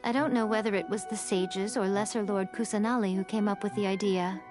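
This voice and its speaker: A woman's voice: medium pitch, fairly even intonation, brisk, music in the background, close to an everyday voice. A woman playing a sly, elegant shrine priestess in a video game, speaking in a composed, formal and knowingly playful way. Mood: measured, knowing. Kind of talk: scripted game story dialogue.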